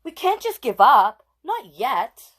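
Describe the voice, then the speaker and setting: A woman's voice: sits high, big pitch swings, takes it slowly, fairly bright tone, pitched above the performer's own voice, heavily acted. A woman voicing a determined, helpful young woman, the heroine of a children's storybook, in an emphatic, earnest way.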